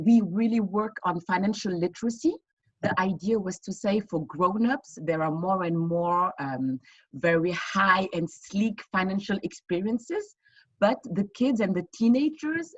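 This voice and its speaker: Woman founder, husky, earnest explainer, dry muffled video-call sound. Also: mid-range voice, lively intonation, normal speed, dry recording. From West Africa. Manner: earnest, explanatory, many fillers and restarts. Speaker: fintech founder. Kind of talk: online panel interview answers.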